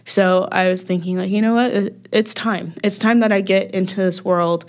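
A woman's voice: mid-range voice, fairly even intonation, moderate pace. A young woman chatting casually as a podcast guest, with frequent ums and easy laughter. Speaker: podcast guest, music festival worker. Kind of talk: casual interview chat.

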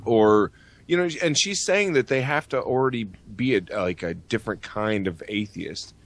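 A man's voice: lowish voice, expressive, moderate pace. A man hosting a podcast, speaking bluntly with heavy swearing in a ranting, casual style. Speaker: podcast host. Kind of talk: ranting, profane podcast chat.

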